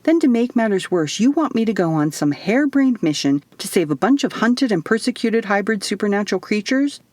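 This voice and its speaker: A woman's voice: medium pitch, very animated, fairly quick, darkish tone, no room sound, lightly acted. A woman voicing a sarcastic, skeptical young woman in an audiobook, blunt and exasperated.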